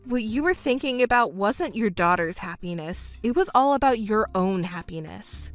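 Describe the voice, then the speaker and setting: A woman voicing a composed adult stepdaughter in a scripted family drama, speaking in a measured, firm and admonishing way. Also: mid-range voice, animated, soft background music, lightly acted. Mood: cold accusation.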